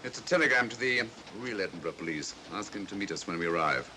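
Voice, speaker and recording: Over a noisy vintage soundtrack comes a brilliant, self-assured detective. He explains things urbanely and wryly, in quick speech with a lively, swooping pitch. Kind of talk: 1940s film mystery acting. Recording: noisy old film soundtrack.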